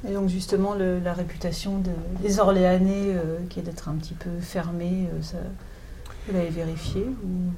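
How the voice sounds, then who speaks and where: A woman interviewer asking questions tentatively, pausing and rephrasing as she goes. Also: lowish voice, level tone, dark tone, a little hiss or hum, rough recording.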